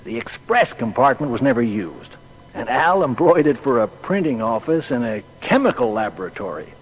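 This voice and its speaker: A man's voice: medium pitch, big pitch swings, moderate pace, slight background noise, lightly acted, poor audio. An actor playing a folksy, plain-spoken man who hesitantly recalls his boyhood friend in a dramatised biography. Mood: matter-of-fact recollection. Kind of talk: dramatised witness reminiscence.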